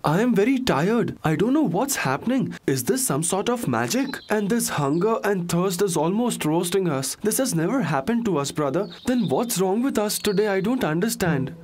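A man's voice: high voice, lively intonation, moderate pace, few pauses, a little hiss or hum. A man voicing an earnest, weary young warrior prince in a cartoon. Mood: weary, bewildered.

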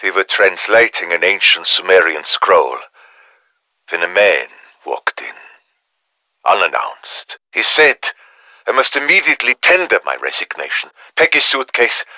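An actor playing a grave, weary, middle-aged German professor in a video game, with a German accent, speaking in measured, solemn, formal phrases as in a dramatic memoir. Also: male voice, low-pitched, bright, forceful tone, no echo, highs cut off, exaggerated voice. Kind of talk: dramatic scripted audio memoir.

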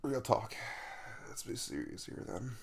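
A man's voice: mid-range voice, sing-song, gravelly, slight background noise. A man playing a caring young crush the morning after a kiss in an intimate ASMR roleplay, speaking casually and hesitantly, with a reassuring manner. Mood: tender, earnest. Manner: hesitant, reassuring, with soft chuckles and trailing pauses.

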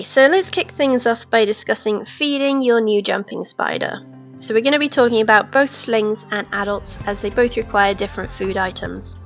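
Young woman, hoarse voice, steady explainer tone, soft background music. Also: mid-range voice. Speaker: pet-keeping channel host. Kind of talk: informative pet-care narration. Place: home room with reptile tanks.

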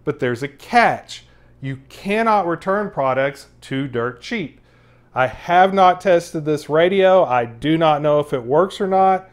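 Male review host, 50s, smooth clear voice on the higher side, measured delivery. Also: expressive. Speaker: host of a product testing channel. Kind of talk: scripted review narration to camera.

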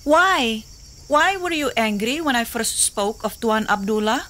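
A woman's voice: a little high, lively intonation, unhurried, fairly bright tone. An actress playing a passionate, jealous young island woman in an audio drama, teasing and pleading with her lover.

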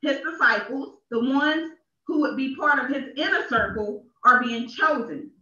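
A woman's voice: high voice, a little slow, pushed and bright, far from the mic. A woman minister delivering a sermon in an emphatic way, repeating phrases for effect, in a small church. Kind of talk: sermon read with deliberate emphasis. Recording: echoey, dull church livestream from off.

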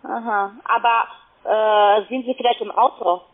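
A woman call centre agent speaking non-native German in a halting, unscripted sales call. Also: on the high side, fairly even intonation. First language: not German. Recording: narrow tinny phone line.

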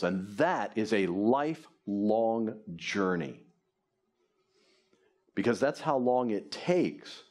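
Lively-voiced preaching man. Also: mid-range voice. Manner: earnest, lively preaching at a lectern. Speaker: pastor. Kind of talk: sermon. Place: church stage before a congregation.

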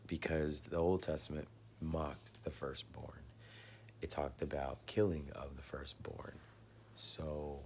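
A man in his thirties who is losing his voice, talking slowly at home into a phone at arm's length, often dropping to a husky whisper and clearing his throat. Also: rumbling low, slight background noise. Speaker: vlogger sharing religious theories. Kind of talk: casual home video monologue. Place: living room at home, indoors. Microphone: phone camera at arm's length.

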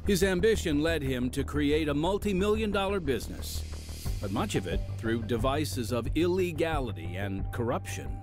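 Animated American male radio announcer. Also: on the high side, normal speed, over music. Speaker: podcast host and announcer. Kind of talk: scripted radio announcing and narration.

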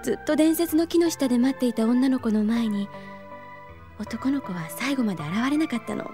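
A voice actress playing a gentle, wistful young woman teacher in an anime audio drama, soft and reflective. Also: fairly high pitch, animated, moderate pace, faint music behind, close to an everyday voice.

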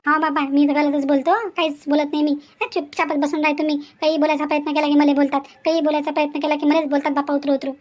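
A woman voicing a meddling, easily offended wife in an animated family drama, nagging. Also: high-pitched, fast, hardly any pauses, natural voice. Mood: sulky.

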